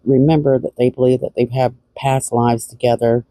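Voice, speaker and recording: A woman's voice: extremely low pitch, little pitch movement, moderate pace, warm tone, dry recording. A woman hosting a true-crime show, giving casual analytical commentary.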